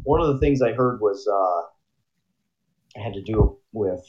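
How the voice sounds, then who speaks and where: A man's voice: on the low side, expressive, measured pace, highs cut off. A man co-hosting a crude comedy podcast, rambling with frequent laughs. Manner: rambling, laughing, jumping into silly voices. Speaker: podcast co-host. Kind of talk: crude casual chat.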